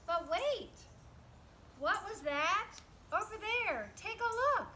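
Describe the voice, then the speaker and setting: High, bright-voiced woman reading a children's story. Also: slow, a little hiss or hum. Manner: animated, emphatic, teacherly, asks listeners questions. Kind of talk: expressive read-aloud with explanatory asides.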